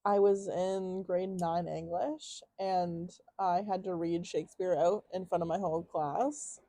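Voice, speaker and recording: Young woman podcast cohost, low mellow voice, loud and chatty, dry close sound. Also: fairly even intonation, a little slow, dry recording. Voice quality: loud projecting voice. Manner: chatty, laughs often, lots of "like" and slang. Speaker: podcast co-host. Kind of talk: casual chat.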